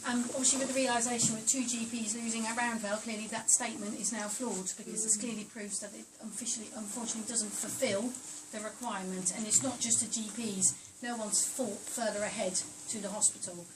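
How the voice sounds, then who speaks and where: A woman's voice: fairly high pitch, fairly even intonation, fast talker, few pauses. A woman speaking up as a resident at a public meeting, insistent and rhetorical. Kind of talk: public comment. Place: council meeting hall. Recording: distant mic with background noise.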